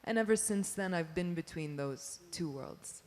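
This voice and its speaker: A woman poet and performer on a panel, speaking expressively and quickly. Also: lowish voice, lively intonation, a little mellow. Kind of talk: panel discussion answer. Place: museum panel stage. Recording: long hall reverb.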